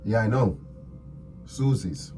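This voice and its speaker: A man's voice: lowish voice, animated, slow pace. A man playing a cocky, evasive, laid-back murder suspect in an ASMR roleplay, slangy and flippant. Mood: flippant, amused. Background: music.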